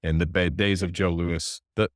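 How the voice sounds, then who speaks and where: Very deep-voiced American man telling a story. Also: moderate pace, dry recording, clean studio sound. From United States. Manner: relaxed, conversational, with fillers. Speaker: company executive. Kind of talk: storytelling in an interview.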